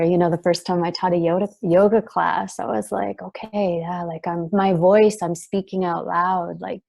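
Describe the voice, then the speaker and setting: Soft-voiced woman, gentle and reflective. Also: fairly low pitch, creaky. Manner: gentle, searching, many fillers and restarts. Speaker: guest, breathwork trainer. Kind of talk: reflective interview talk.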